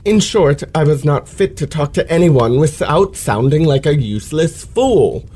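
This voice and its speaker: A man's voice: on the high side, lively intonation, unhurried, warm tone, breathy edge. A man playing a pompous, self-pitying male lecturer with florid, precise elocution in an old-fashioned radio play. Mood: aggrieved, theatrical.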